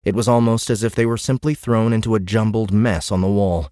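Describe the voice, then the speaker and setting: A man's voice: fairly low pitch, fairly even intonation, fairly quick, dark tone, no room sound, clean studio sound. A man reading scripted narration of a science fiction novel as an audiobook narrator. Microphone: close studio microphone.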